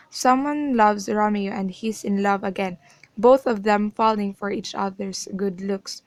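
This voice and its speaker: A woman's voice: medium pitch, no room sound. Woman narrating, close dry sound. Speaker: narrator, chorus. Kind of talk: scripted narration, amateur radio drama.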